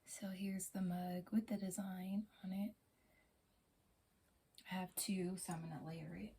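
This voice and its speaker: A woman with a hoarse, strained voice from losing it talks through a product demonstration step by step, her pitch staying flat and even. She pauses often with small fillers. Faint noise sits under the recording from a phone camera in a small bedroom. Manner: plain step-by-step explaining, halting with fillers. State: hoarse from a lost voice. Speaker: product reviewer. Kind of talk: casual unboxing demonstration.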